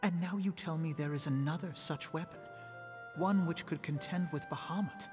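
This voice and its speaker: An actor playing an earnest, courteous young scholar in a fantasy game cutscene, speaking in polite, eloquent, formal phrases. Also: male voice, fairly high pitch, normal speed, over music, natural voice. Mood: incredulous. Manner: polite, articulate, formal archaic speech. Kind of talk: dramatic scripted game cutscene acting. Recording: long echoing reverb.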